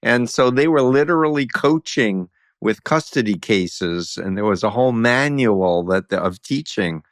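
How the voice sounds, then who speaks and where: A man's voice: mid-range voice, expressive, unhurried, no room sound, clean studio sound. A man hosting a podcast interview, speaking earnestly and sometimes repeating words as he starts. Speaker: podcast host. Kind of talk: interview conversation.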